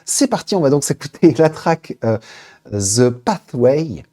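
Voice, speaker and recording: A man's voice: mid-range voice, big pitch swings, unhurried, warm tone. A man hosting a casual live stream, reading names from the chat aloud and hesitating with lots of fillers as he queues up listeners' tracks. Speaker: Twitch stream host.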